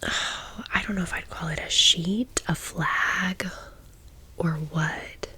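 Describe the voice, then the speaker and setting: A woman whispers in a chatty ASMR storytelling style. Her delivery is slow and unhurried, with a low-set voice beneath the whisper. She sounds conversational and gushing, as if confiding in friends. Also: bright, forceful tone, slightly rough, rough recording. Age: 30s. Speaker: ASMR vlogger.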